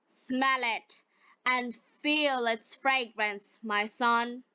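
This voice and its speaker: Woman reading as a calm holy man in a moral storybook: very slow, instructive, bright, lo-fi sound. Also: a little high, clean tone, no echo, heavily acted. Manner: measured, instructive. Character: wise, calm, kindly male saint giving counsel.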